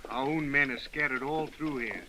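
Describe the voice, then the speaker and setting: Anxious, loyal army major. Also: male voice, medium pitch, normal speed, slight background noise, rough recording. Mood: uneasy. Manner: earnest, deferential. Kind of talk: 1930s radio acting. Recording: old radio recording, loud crowd sounds.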